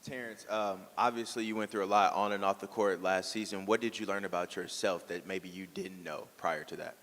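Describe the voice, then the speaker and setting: A man's voice: sits low, fairly even intonation, brisk, fairly bright tone. A man working as a television reporter, asking questions at a press conference. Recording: reverberant press conference hall.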